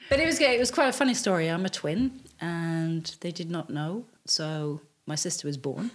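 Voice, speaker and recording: A woman's voice: fairly low pitch, normal speed. A woman in her fifties, born in Tanzania and raised in Sweden, chatting casually about her life, laughing often and trailing off mid-sentence. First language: English first, then Swedish. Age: 50s. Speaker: guest telling her life story. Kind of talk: casual conversational storytelling.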